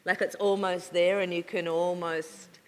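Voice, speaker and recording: A woman on a panel, speaking thoughtfully with frequent pauses and fillers. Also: lowish voice, little pitch movement. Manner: thoughtful, hesitant with many fillers. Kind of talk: panel discussion. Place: university lecture hall. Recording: reverberant lecture hall.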